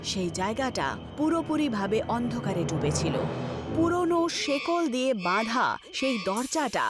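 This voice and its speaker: A woman narrates a scripted horror tale in a high, very lively voice. Loud music plays all along beneath her. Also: unhurried.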